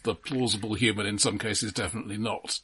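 A man's voice: lowish voice, steady tone, quick pace, fairly bright tone, husky. A man co-hosting a casual film discussion, speaking in an analytical, somewhat hesitant way with care over details. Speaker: podcast co-host.

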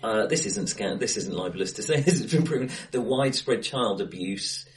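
A man's voice: fairly low pitch, animated, fairly quick. A man co-hosting a casual film discussion, talking face to face with someone in a live-sounding room. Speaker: podcast co-host. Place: indoor room, recorded face to face. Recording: acoustically bright live room.

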